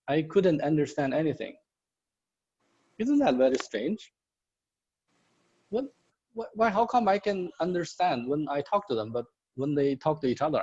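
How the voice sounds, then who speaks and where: A man from China, telling a personal story in a slow, deliberate prepared club speech over a video call. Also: on the high side, dark tone, slightly rough. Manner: slow, deliberate, with simple plain phrasing. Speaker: prepared speaker. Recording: muffled lo-fi audio.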